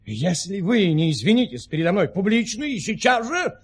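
A flustered, indignant count in a comic radio play. The voice is very raspy and rather high, slow and swooping, with sputtering repetitions. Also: male voice, sing-song, exaggerated voice. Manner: stammering, repeating himself, sputtering. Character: flustered, indignant, gullible count, easily bewildered. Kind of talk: Russian audio play comedic acting.

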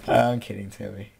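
A young man giving casual, excitable, bantering commentary while hosting a gaming video. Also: fairly low pitch, creaky, slightly rough. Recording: noisy amateur game-chat mic.

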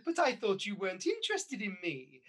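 A woman's voice: medium pitch, a bit bright, slight background noise. A woman playing a vain, haughty pantomime mother with an exclamatory, theatrical delivery, in a radio panto. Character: vain, haughty pantomime dame-mother, newly young and beautiful.

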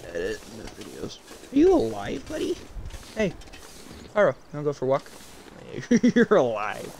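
A man casually commenting while he plays a game, in a calm, fairly monotone voice that drifts into rambling asides. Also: mid-range voice, vocal fry, a little hiss or hum. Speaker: gameplay streamer.

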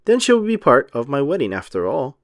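A man voicing an earnest, tender young princess bride in a children's storybook, with gentle expression. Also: medium pitch, wildly swooping pitch, brisk, no echo, studio quality. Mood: earnest, hopeful.

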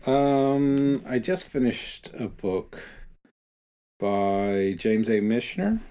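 A man's voice: medium pitch, expressive, slow pace, dark tone, clean tone. A middle-aged man co-hosting a podcast, talking casually about books. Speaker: podcast co-host. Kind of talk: casual book-discussion chat.

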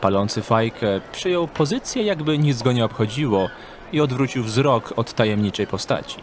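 A man's voice: medium pitch, lively intonation, moderate pace, a little hiss or hum. A man reading scripted narration for an audio drama as its storyteller. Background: background music and street ambience.

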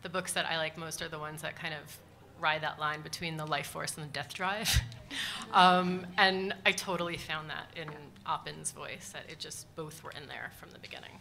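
A woman's voice: deep voice, steady tone, normal speed, gravelly, sharp tone. A woman book editor speaking on a conference panel into a table microphone, enthusiastic and full of "um" fillers. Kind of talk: panel pitch and discussion. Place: large conference hall.

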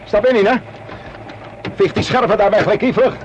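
A man playing a brisk, commanding detective in a Dutch radio play, urgent and authoritative, calm under pressure. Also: high voice, lightly acted. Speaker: radio drama actor. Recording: dull, noisy old radio recording.

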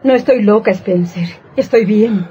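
An actress playing an anguished, jealous wife in a radio horror drama, with an intense, melodramatic delivery. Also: female voice, mid-range voice, a little slow, dark tone, highs cut off, natural voice. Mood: brooding, intense. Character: anguished jealous wife, brooding, unstable, clinging and pleading. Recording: noisy old radio drama recording.

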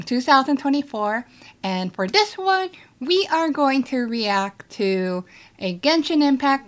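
A young woman reacts to a game video from her small bedroom setup. She speaks slowly, pausing often with fillers. She sounds relaxed and unhurried, recorded through an earphone mic. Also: medium pitch, smooth. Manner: relaxed, hesitant, many fillers. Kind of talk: casual reaction chat.

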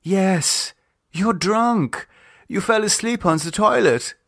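A man's voice: sits high, slow pace, a bit bright, no room sound, studio quality, pitched above the performer's own voice, heavily acted. A man voicing a worried, caring woman in an audiobook, with a concerned, coaxing manner. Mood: concerned, reassuring. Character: worried, caring young woman, firm but soothing.

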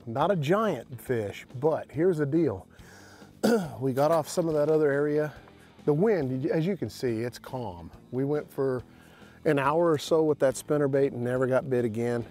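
A middle-aged man hosting a fishing show, explaining tackle in a folksy way from a bass boat on an open lake. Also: a little slow, faint music behind. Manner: folksy, excited when landing fish. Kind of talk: outdoor fishing instruction.

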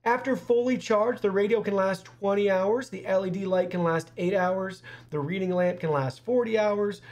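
Young guy doing an upbeat product review, pretty high voice for a man. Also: normal speed, a little mellow. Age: young adult. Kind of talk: scripted product review to camera. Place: small indoor studio.

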